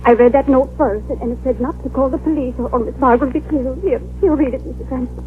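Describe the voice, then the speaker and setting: A woman's voice: sits high, quick pace, close to an everyday voice. An actress playing a frightened, loyal maid who stammers and prays as she talks, in an old radio drama. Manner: stammering, fretful, pious. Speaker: maid to a missing woman. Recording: muffled scratchy old radio broadcast with faint hiss.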